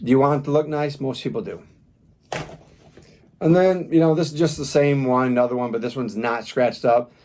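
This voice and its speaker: A man's voice: mid-range voice, normal speed, a little mellow. Plain-spoken man reviewing gear, noisy recording. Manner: plain-spoken, rambling, informal. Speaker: product reviewer. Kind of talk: casual unscripted review vlog. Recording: clear background noise with clicks.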